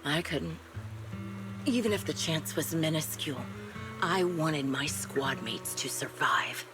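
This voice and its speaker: An actress playing a haunted, vengeful ex-soldier woman in a video game, measured and brooding, coldly resigned as she speaks. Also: deep voice, lively intonation, over music. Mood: bitter, subdued. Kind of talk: scripted video game story acting.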